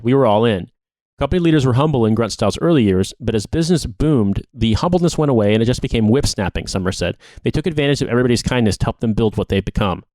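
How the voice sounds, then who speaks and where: A man's voice: mid-range voice, a little mellow, dry recording. A man co-hosting a podcast, reading a long article aloud. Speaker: podcast co-host.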